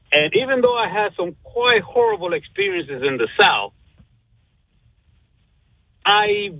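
Man, mid-fifties, from Cuba, radio caller, husky mid-pitched voice, dull phone sound, friendly, rambling. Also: no room sound. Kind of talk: casual phone-in chat.

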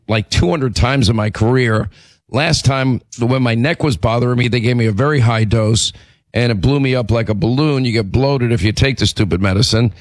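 A middle-aged man hosting a talk radio show with a hoarse, raspy voice from laryngitis, clearing his throat and talking emphatically. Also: medium pitch, no echo. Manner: emphatic, repetitive, combative. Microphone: studio microphone.

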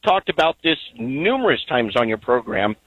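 A man's voice: medium pitch, big pitch swings, moderate pace, fairly bright tone, no echo, poor audio. A man calling in to a talk radio show, earnest and rambling, with many ums. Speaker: caller.

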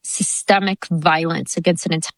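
A woman political candidate giving an earnest interview answer in a measured, deliberate way with drawn-out pauses. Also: low-pitched, steady tone, dry recording.

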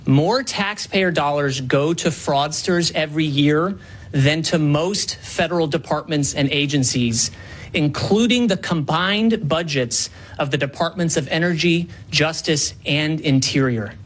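A man delivering a formal prepared statement with measured, deliberate phrasing. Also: on the high side.

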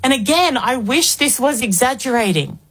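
A woman's voice: fairly high pitch, animated, moderate pace, fairly bright tone, slightly rough. An Australian woman in her forties, filling in as a talk radio host with an emphatic, rhetorical political monologue. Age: 40s.

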